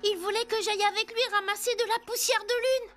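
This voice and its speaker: Very high-pitched little puppet boy. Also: fairly even intonation, fairly quick, a bit bright. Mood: apologetic. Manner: childlike, eager. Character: cheerful little wooden puppet boy, eager and well-meaning. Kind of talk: French children's cartoon dub. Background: music.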